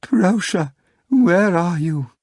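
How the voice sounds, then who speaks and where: A woman's voice: very animated, takes it slowly, a little mellow, breathy edge, no room sound, clean studio sound, full character voice. A man voicing a hysterical young female secretary who wails through her tears in a slightly nasal, breathless way. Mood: wailing. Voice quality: a little nasal from crying. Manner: shrill, frantic, breathless wailing. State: crying. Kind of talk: audiobook character voice.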